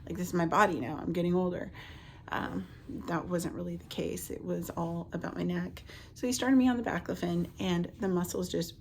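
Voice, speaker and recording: A woman in her late thirties telling a personal health story casually and haltingly, her voice scratchy from the tail end of a cold. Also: on the low side, creaky, darkish tone. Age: late 30s. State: getting over a cold with a lingering cough. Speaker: vlogger, stay-at-home mother.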